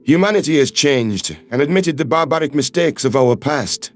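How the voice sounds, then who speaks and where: A man voicing a calm, earnest human man who speaks in firm, measured, reassuring tones. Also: a little high, faint music behind, pitched below the performer's own voice, close to an everyday voice. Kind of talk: audiobook character voice.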